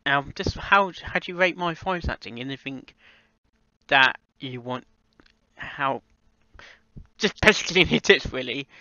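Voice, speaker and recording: A man chatting casually and unscripted in a reaction vlog, halting and often repeating and rephrasing himself. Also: medium pitch, pushed and bright, no room sound, highs cut off. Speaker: amateur voice actor reviewing a fan fiction audio reading.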